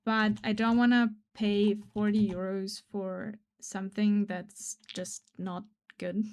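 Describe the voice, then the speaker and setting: Woman streamer, german first language, rambly and self-deprecating, mumbles quiet while drawing, mid pitch. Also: flat delivery, slow, clear, resonant tone. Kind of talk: casual live-stream chat while drawing.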